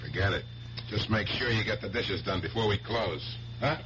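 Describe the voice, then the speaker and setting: A man's voice: lowish voice, normal speed, lightly acted. A man playing a sly, easygoing uncle and showman in a 1970s radio drama. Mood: jovial, offhand. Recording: noisy, dull 1970s radio recording.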